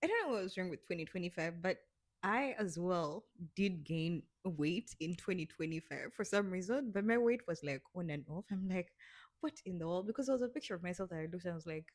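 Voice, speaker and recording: A woman's voice: fairly low pitch, expressive, a little fast, no room sound, studio quality. A woman in her twenties co-hosting a casual podcast, giving earnest advice in a chatty way. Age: 20s. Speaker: podcast co-host. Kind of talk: casual chat.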